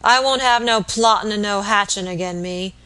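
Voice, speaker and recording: A coarse, dying English innkeeper, acted out by a woman reader, growls hoarsely in rustic dialect. He is surly and bullying, pushing his words out louder and higher, as a storybook villain would. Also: moderate pace, lightly acted. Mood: suspicious, angry. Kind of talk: audiobook character voice.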